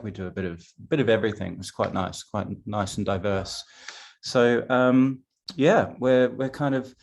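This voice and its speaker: A man's voice: mid-range voice, darkish tone. A man running a puppetry company, introducing himself on a video call, hesitant and full of fillers. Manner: hesitant, laughing, many fillers.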